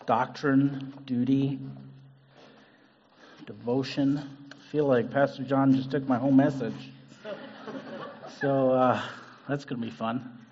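A man's voice: medium pitch, fairly even intonation, slow, long pauses, highs cut off, a little hiss or hum. A middle-aged man from Zambia, giving a conversational sermon as a guest preacher. He talks with many fillers and restarts. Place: church sanctuary.

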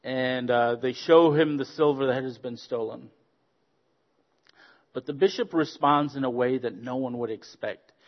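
A man from Zambia, a guest preacher giving a friendly sermon with stories, joking and pausing on fillers. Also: medium pitch, muffled recording. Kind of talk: sermon with storytelling.

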